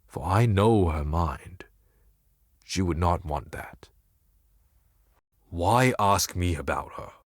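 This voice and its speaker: Brooding desert mystic for a fantasy game: deep, portentous, theatrical delivery, very dry close sound. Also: male voice, expressive, dry recording, heavily acted. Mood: grave, measured. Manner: portentous, measured, ornate speech. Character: cryptic male desert seer, brooding, solemn and faintly mocking. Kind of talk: theatrical video game character dialogue.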